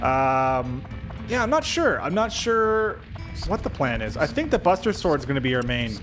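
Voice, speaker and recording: A man's voice: on the high side, sing-song, moderate pace. A man giving casual video game commentary, thinking aloud as he weighs his options. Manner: thinking aloud, indecisive musing. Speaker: video game streamer doing a let's play. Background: video game music.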